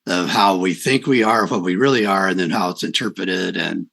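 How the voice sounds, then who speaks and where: Low-pitched, bright male host. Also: normal speed, gravelly. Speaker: interview host. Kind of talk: conversational explaining of personality types.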